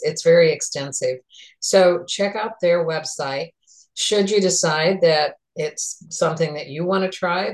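Low-voiced woman hosting a chatty talk show. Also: low-quality recording. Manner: chatty, unhurried, with frequent ums. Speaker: talk show host and therapist. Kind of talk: relaxed podcast talk with sponsor plugs.

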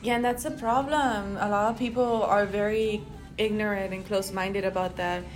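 Animated young Tex-Mex woman co-host. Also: mid-range voice, normal speed, rough recording. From Rio Grande Valley, Texas. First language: Spanish. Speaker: podcast co-host. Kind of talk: casual chat.

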